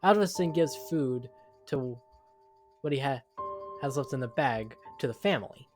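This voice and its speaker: A man's voice: medium pitch, lively intonation, normal speed, over music. A man narrating a scripted dramatic story, now and then stumbling over the words.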